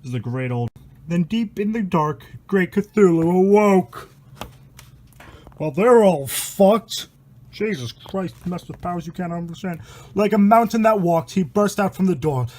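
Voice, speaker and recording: A man's voice: high voice, expressive, measured pace, darkish tone. A man reading a rhyming horror story aloud in a dramatic, irreverent way, stumbling over words and swearing in casual asides. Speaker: amateur storyteller and host.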